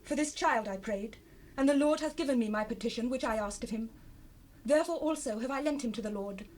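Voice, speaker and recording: A woman's voice: on the high side, a little fast, darkish tone, close to an everyday voice. A woman playing a devout, sorrowful biblical wife in a dramatized scripture reading, speaking fervently in formal archaic language on an old cassette. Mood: earnest, devout. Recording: noisy unprocessed 1970s cassette rip.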